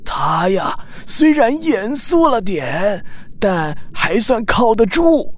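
A woman's voice: medium pitch, very animated, slow pace. A woman voicing a kindly, encouraging male sea snail uncle in a children's audio drama, stammering and full of praise. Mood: reassuring.

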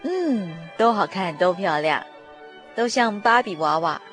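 Sweet doting granny for a radio play, fussing fondly, bit of music under. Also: female voice, medium pitch, lively intonation, measured pace, fairly bright tone. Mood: fond, welcoming. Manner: affectionate, indulgent. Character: kindly, doting, devout grandmother at New Year. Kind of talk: radio drama acting.

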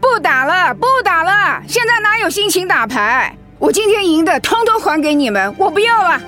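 A woman's voice: extremely high pitch, big pitch swings, normal speed, sharp tone, soft background music, heavily acted. A woman acting a protective middle-aged mother-in-law in a radio drama, outspoken and motherly. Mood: indignant. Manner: indignant, emphatic when provoked, motherly.

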